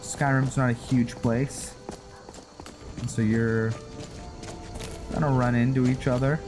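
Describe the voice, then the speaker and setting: A man's voice: mid-range voice, flat delivery, slow pace. A man giving casual, informal playthrough commentary and roleplay, thinking aloud as he goes. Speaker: gaming host and role-player. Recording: loud game music and rain behind. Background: game music, rain sounds.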